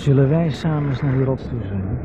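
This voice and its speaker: A man's voice: mid-range voice, expressive, fast, noisy background, lightly acted. An actor playing a reclusive, sardonic man in his thirties in a Dutch radio drama, dry and evasive. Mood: wry, evasive. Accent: Netherlands Dutch. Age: 30s. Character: reclusive, wry older brother living on an island. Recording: muffled, lo-fi vintage radio recording.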